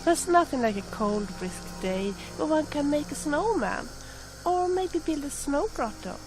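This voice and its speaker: A woman's voice: high voice, animated, measured pace, music in the background, rough recording. A woman voicing a friendly, bookish young adventuring companion in a video game. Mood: wistful, chatty.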